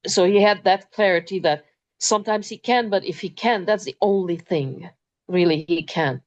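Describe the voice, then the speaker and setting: A woman, hosting a talk in a thoughtful way, pausing with many ums. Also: fairly low pitch, lo-fi sound. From Transylvania, Romania. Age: 50s. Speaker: interview host. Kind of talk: moderated talk.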